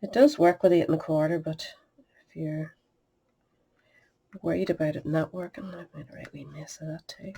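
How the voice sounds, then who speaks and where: A woman's voice: low-pitched, fairly even intonation, moderate pace, gravelly, pauses often, dark tone, slightly rough. A sniffly middle-aged woman, chatting through a step-by-step card-making demonstration and muttering asides under her breath. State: sniffly with a cold. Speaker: craft tutorial host.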